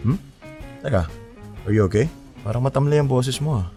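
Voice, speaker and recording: A man's voice: medium pitch, brisk, dark tone, soft background music, natural voice. A man acting an earnest, attentive young boyfriend in a Filipino romance audio drama, casually mixing languages with a Filipino accent. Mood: concerned. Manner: earnest, casual, mixes English and Tagalog.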